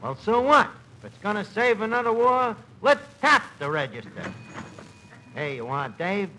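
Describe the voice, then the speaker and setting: A man's voice: high voice, very animated, moderate pace, pushed and bright, exaggerated voice. An actor playing a gullible, good-hearted, earnest New York everyman with a slangy accent in old-time radio comedy. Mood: anxiously helpful. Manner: naive, earnest, awestruck. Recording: noisy old radio broadcast.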